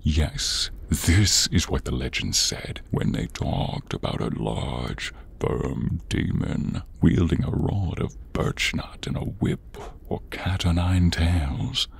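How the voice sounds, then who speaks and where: A man playing a tall horned demon in an ASMR roleplay, breathy and gravelly, menacing and theatrical with a comic edge. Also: very deep voice, expressive, slow pace, hardly any pauses, slight background noise, heavily acted. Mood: commanding, menacing. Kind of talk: ASMR roleplay, slow dramatic delivery.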